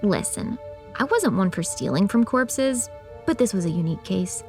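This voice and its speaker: A woman's voice: mid-range voice, very animated, normal speed, a little mellow, soft background music. Woman playing a sardonic, exasperated young woman. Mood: wry. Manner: sarcastic, conversational. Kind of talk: horror audio drama dialogue.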